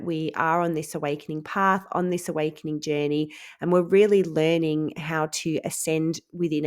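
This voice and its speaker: A woman hosting a spiritual podcast, talking casually and earnestly. Her voice is nasal and stuffed up from a head cold. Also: sits low. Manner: chatty and earnest, many ums and laughs. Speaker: podcast host.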